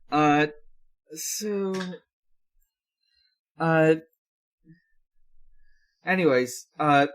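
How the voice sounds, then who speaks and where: A podcast host with a medium-pitched voice talks through an episode recap in a slow, halting way. The delivery is casual and full of hesitations, as if chatting with friends. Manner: halting, hesitant delivery with many filled pauses.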